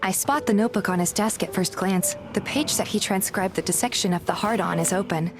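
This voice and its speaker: A woman playing a curious, polite young game heroine, narrating in the first person and speaking her dialogue in an earnest, gentle manner. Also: mid-range voice, brisk, music in the background, natural voice. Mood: earnest, reflective.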